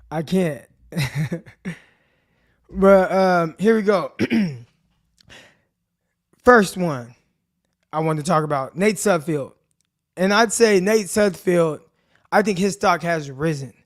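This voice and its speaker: A man with a naturally raspy, dry voice hosting a casual live sports talk stream, chatting straight to his viewers in relaxed slang. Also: high-pitched, takes it slowly, long pauses. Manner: laid-back slangy chat addressing viewers directly. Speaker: podcast host. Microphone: desk mic with earbuds in.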